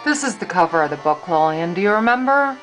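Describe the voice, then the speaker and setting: An older woman reading a storybook aloud to a grandchild in a deliberate, dramatic, expressive manner. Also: fairly low pitch, soft background music, rough recording.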